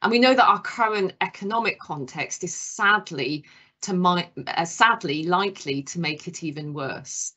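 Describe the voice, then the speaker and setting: A woman, hosting a webinar and giving a measured, formal introduction of the speaker over a video call. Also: on the low side, fairly bright tone, breathy edge. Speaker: webinar host.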